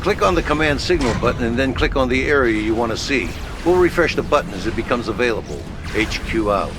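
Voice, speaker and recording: A man playing a gruff, businesslike headquarters officer in a video game, giving terse and informal military briefings. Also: mid-range voice, lively intonation, fast talker, heavy background noise, close to an everyday voice. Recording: music behind the voice.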